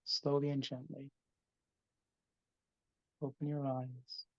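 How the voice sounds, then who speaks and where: A man hosting a live guided meditation over a video call, speaking slowly and softly. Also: medium pitch, flat delivery, creaky, no echo, dull audio. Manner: slow, soft, soothing delivery. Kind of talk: guided meditation, then casual chat.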